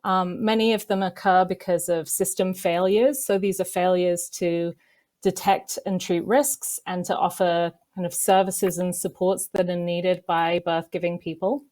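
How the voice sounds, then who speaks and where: A woman in her thirties, a medical researcher on a panel, explaining in a measured way with frequent "kind of" fillers. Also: lowish voice, little pitch movement, a little mellow. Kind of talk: panel discussion answers.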